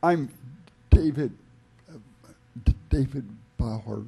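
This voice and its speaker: A man in his seventies with a dark, gravelly voice, a husky rasp and a very slow pace. He introduces himself haltingly, with many repeated starts. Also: mid-range voice. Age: 70s. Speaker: conference attendee. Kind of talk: self-introduction.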